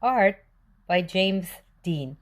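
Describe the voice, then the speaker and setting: A woman's voice: mid-range voice, lively intonation, very slow. A woman reading a storybook aloud for young children, expressively asking questions and answering them. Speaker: children's read-aloud presenter.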